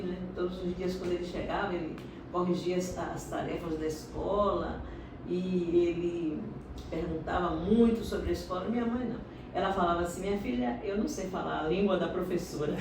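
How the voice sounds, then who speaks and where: Middle-aged woman, low voice, distant mic, echoey noisy room, unhurried storyteller. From Salvador, Bahia, Brazil. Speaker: interviewee, doctorate holder. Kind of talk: personal life story interview.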